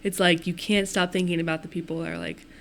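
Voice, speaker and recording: A woman's voice: on the low side, steady tone, quick pace, a little hiss or hum. Chatty young woman podcast host. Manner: chatty, frequent fillers and agreeing interjections. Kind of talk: casual interview conversation. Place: small quiet office studio. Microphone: desk podcast mic on stand.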